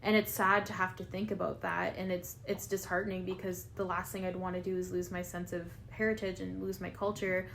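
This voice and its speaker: Young canadian woman, reflective podcast chat, gravelly fry in her voice. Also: lowish voice, little pitch movement, a little fast, few pauses, fairly bright tone, a little hiss or hum. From Filipino family, raised in Canada. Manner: reflective, gives frequent "mm-hmm" backchannels. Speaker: podcast co-host. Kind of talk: casual conversation.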